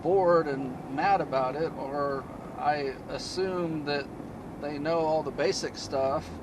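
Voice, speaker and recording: A man hosting a DIY car channel, chatting casually in a flat, low-key monotone from inside a moving car. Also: slow pace, background noise. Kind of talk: casual vlog talk while driving.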